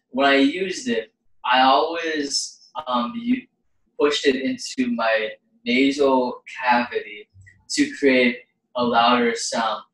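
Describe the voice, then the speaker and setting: Roomy, distant, slow-paced male interviewer. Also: medium pitch, a bit bright. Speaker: host, interviewer and singer. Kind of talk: casual interview chat. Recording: roomy, distant microphone pickup.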